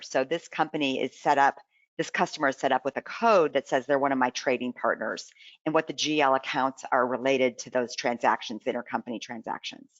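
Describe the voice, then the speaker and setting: A presenter giving a live software demonstration in an informal way, explaining and thinking aloud while navigating. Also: mid-range voice, no echo, highs cut off.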